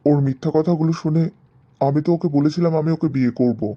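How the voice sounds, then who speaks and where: Cartoon young man in love, male performer: fairly high pitch, earnest, dutiful, lo-fi muffled recording. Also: fast talker, close to an everyday voice. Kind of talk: cartoon story dialogue. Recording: dull, muffled lo-fi sound.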